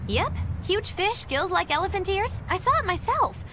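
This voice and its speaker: A mischievous young girl from an anime game. Her voice is high and very animated, with a playful, teasing bluntness. Faint noise runs behind her. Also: normal speed. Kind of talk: English anime game dub acting.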